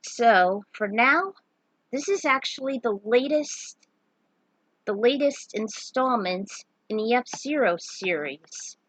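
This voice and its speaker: A woman's voice: a little high, lively intonation, slow pace, fairly bright tone, no room sound, poor audio. A woman who writes fan fiction, reading her own story aloud in an earnest, amateur way with small slips, then chatting casually to her readers.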